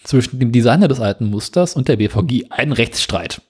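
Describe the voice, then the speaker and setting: Male podcast co-host, slightly husky, relaxed and dry as he talks through news. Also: medium pitch, fairly quick. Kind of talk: casual news chat.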